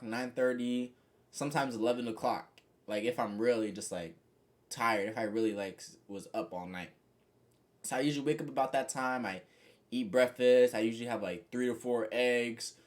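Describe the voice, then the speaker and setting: Young guy just rambling to his viewers, mid-pitch, kinda monotone, lots of you know and like. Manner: says he has a monotone voice. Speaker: vlogger. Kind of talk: casual reflective monologue to camera.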